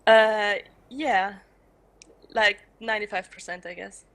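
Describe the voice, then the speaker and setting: A woman in her twenties who grew up in Switzerland, answering questions in casual chat, giggling often and pausing to search for words. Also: mid-range voice, steady tone, gravelly, fairly bright tone. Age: 20s. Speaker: student and streaming guest. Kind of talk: casual live-stream Q&A.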